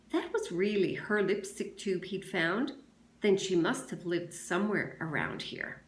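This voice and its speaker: A woman's voice: lowish voice, animated, unhurried. Woman reading a story in an echoey room. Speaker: teacher reading aloud. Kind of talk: expressive children's novel read-aloud.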